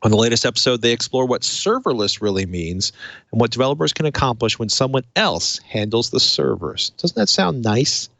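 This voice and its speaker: A man with a noticeable creak in his mid-pitched voice, hosting a tech show. The sound is dry and close, and he talks in a conversational, slightly wry style. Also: animated, a little fast, a bit bright, no room sound. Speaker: podcast host. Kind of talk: casual tech talk show with a read sponsor message.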